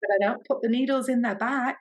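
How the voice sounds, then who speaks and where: A woman with an English accent, a podcast guest telling stories in a chatty, expressive way and acting out quoted dialogue. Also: on the high side, a little fast. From Britain. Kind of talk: animated storytelling in casual interview.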